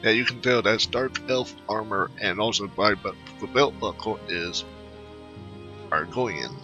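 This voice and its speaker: Male gaming host: deep voice, casual, halting and repetitive commentary, music clearly behind. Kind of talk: casual game playthrough commentary.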